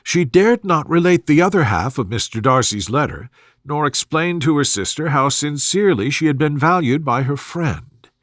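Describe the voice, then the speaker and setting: A man gives a soothing scripted narration of a classic novel. His voice sits a little high and moves expressively in pitch. The recording is close and dry. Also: a bit bright, no echo. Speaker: audiobook narrator.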